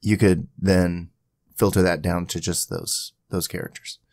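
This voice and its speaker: A man giving a casual screen-capture software tutorial, thinking aloud informally as he goes. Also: sits low, little pitch movement, unhurried, dry recording, studio quality. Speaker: tutorial host.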